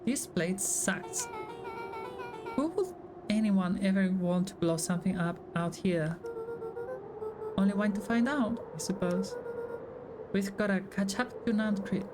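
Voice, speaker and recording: A woman's voice: medium pitch, lively intonation, measured pace, long pauses. A woman streamer reading visual novel dialogue aloud in character. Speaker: streamer voicing game dialogue. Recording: game music behind the voice. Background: game music.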